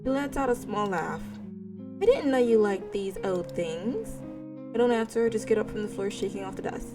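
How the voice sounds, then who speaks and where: A woman's voice: medium pitch, animated, normal speed, over music. A woman narrating a visual novel in a let's play, reading expressively and dropping in casual side remarks. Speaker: gaming video creator reading a visual novel aloud.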